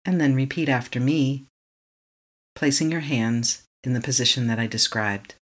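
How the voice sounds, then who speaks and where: Meditation guide, middle pitch, slow, calm, short repeated affirmations.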